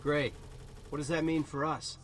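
A man's voice: a little high, steady tone, normal speed, distant mic, natural voice. A man playing a terse, confident soldier in a video game. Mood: impatient. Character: gruff adult male soldier, terse and confident mercenary. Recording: heavy battle noise over voice.

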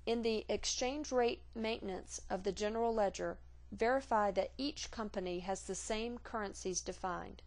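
A woman reading scripted instructional narration for a software tutorial in a measured, formal, neutral manner. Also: mid-range voice.

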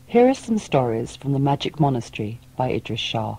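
A man's voice: medium pitch, big pitch swings, a little fast, creaky, dark tone, rough recording. A man giving a scripted introduction as an announcer.

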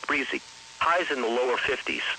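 A man's voice: medium pitch, sing-song, normal speed, bright, forceful tone, rough recording. A synthetic male text-to-speech voice reading a scripted weather bulletin in a formal, impersonal manner as a radio announcer. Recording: hissy weather radio broadcast.

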